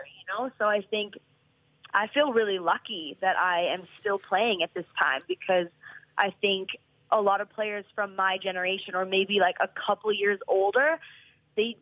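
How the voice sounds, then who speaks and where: A woman's voice: medium pitch, no echo. Earnest woman, thirties, muffled line. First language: English. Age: 30s. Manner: earnest, rambling with many restarts. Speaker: professional soccer player, guest. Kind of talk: casual podcast interview.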